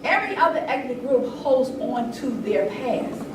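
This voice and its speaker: A woman's voice: high-pitched, big pitch swings, normal speed. An older woman giving an impassioned, informal talk, earnest and emphatic, with a conversational storytelling way of speaking. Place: indoor room at a public event. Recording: echoey room, distant mic, noisy background.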